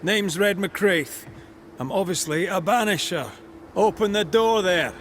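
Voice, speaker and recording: An actor playing a grieving, resolute Scottish ghost hunter in a dramatic video game. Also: male voice, sits high, a little slow, fairly bright tone, slight background noise. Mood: businesslike, firm.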